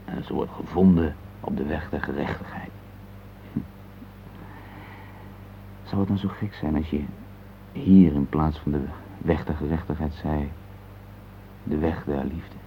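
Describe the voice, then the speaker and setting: A man's voice: rumbling low, steady tone, a little slow, pauses often. An actor playing a reflective, tender middle-aged husband in a radio play, hesitant and searching for words as he speaks. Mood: tender, reminiscing. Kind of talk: intimate radio play dialogue. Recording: muffled phone-like old radio recording, hissy.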